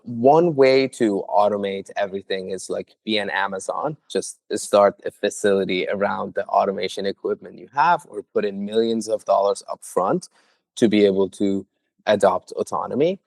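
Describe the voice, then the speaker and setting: A man's voice: fairly low pitch, vocal fry, a little mellow, dry recording. A young man who founded a startup, answering interview questions earnestly with frequent filler words.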